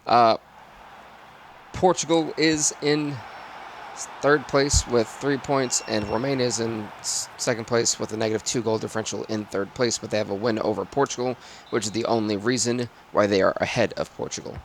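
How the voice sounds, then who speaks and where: A man with a raspy voice doing live football game commentary and stream chat in sportscaster phrasing. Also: medium pitch, steady tone, normal speed, a little hiss or hum.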